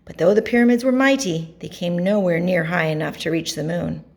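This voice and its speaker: A woman reading a picture book aloud to children, narrating expressively with dramatic pauses and emphasis. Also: fairly low pitch, creaky. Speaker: storybook reader.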